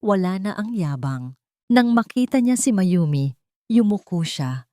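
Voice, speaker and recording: A woman's voice: mid-range voice, big pitch swings, unhurried, dark tone, no room sound, clean studio sound. A woman with a Filipino accent narrating a scripted dramatic story.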